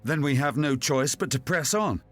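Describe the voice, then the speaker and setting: A man's voice: fairly high pitch, moderate pace, noisy background, close to an everyday voice. A man playing a stern, commanding expedition officer in an audio drama, grave and accusing. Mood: resolute.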